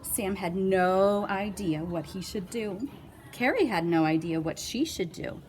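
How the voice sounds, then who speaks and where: A woman's voice: medium pitch, measured pace, a little mellow, a little hiss or hum, rough recording. A woman reading a children's picture book aloud with playful, dramatic emphasis and little asides. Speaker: read-aloud storyteller.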